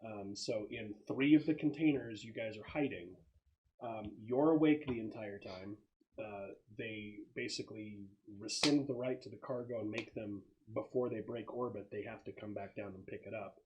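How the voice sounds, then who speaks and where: A man's voice: fairly low pitch, normal speed, highs cut off, crowd noise. A man narrating a tabletop roleplaying game as game master, explaining things in a casual, improvised way.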